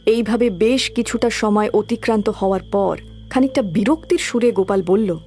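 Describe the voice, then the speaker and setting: A woman's voice: mid-range voice, normal speed, mellow. A woman giving scripted, dramatic narration of a horror story. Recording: background music throughout.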